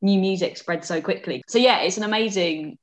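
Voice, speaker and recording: A woman's voice: mid-range voice, fairly quick, poor audio. A woman presenter chatting enthusiastically as a guest over a video call. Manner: enthusiastic, animated, uses "like" often. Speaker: radio and TV presenter, podcast guest. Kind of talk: casual interview chat over video call.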